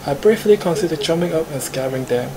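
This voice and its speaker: Higher-pitched Hong Kong man reading aloud. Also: expressive, fast talker, noisy background. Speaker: streamer reading the protagonist. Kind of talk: live visual novel read-aloud.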